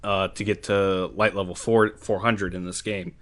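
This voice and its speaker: A man's voice: sits low, flat delivery, normal speed, fairly bright tone. A man co-hosting a podcast in casual chat, jokey and rambling with fillers. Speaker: podcast co-host.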